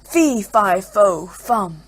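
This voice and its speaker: Booming storybook ogre, gruff and greedy, with a husky edge; a woman reads him. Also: expressive, very slow. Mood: bellowing. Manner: loud bellowing calls.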